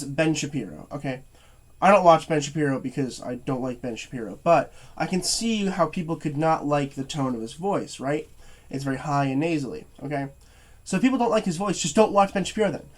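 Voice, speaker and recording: A young man reviewing movies in a casual rant, tired and stuffy-nosed, stuttering and restarting his sentences. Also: a little high. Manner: stuttering, halting, many fillers and restarts.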